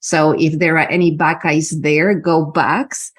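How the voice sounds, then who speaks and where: A woman's voice: sits low, flat delivery, slightly rough. A woman with a Polish accent, a business mentor speaking earnestly as a podcast guest, with frequent hesitations. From Poland. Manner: earnest, spiritual, measured with many fillers. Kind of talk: podcast interview.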